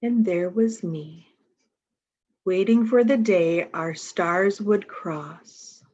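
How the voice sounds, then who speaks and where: A woman's voice: medium pitch, very slow, a little mellow, muffled recording. A woman reading picture books aloud to young children, talking to them directly and asking simple questions. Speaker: storytime reader.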